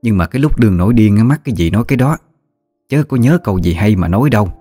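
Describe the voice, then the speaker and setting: A man's voice: fairly low pitch, animated, rapid-fire, warm tone. A man voicing a feisty old countrywoman, blunt and folksy, with a Southern Vietnamese accent, in a narrated story. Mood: remorseful, flustered. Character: feisty old countrywoman, blunt, easily amazed, great-aunt. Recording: music behind the voice.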